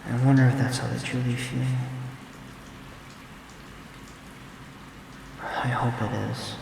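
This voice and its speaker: Man acting as gentle killer boyfriend, slow hesitant delivery, flat even pitch, intimate ASMR roleplay, loud background rumble. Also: gravelly, close to an everyday voice. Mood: brooding, worried. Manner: hesitant, halting, apologetic, soft-spoken. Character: gentle, guilt-ridden serial killer boyfriend and father.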